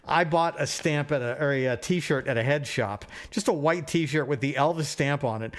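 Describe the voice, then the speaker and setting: A middle-aged man chatting casually as a podcast co-host and reading out silly items in an amused, irreverent way. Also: medium pitch. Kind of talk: casual phone chat, reading tabloid items aloud for laughs.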